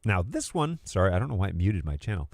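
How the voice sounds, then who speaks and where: A man's voice: sits low, big pitch swings, quick pace, gravelly, dry recording. A man giving a casual live tech walkthrough, explaining in an informal way and thinking aloud as he goes. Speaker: presenter.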